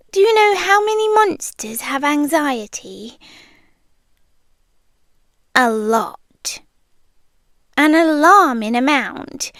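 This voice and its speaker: A British woman playing a shy, anxious, lovestruck monster from under the bed in an intimate ASMR roleplay, speaking softly and awkwardly, often in a whisper. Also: high-pitched, dry recording, studio quality, heavily acted.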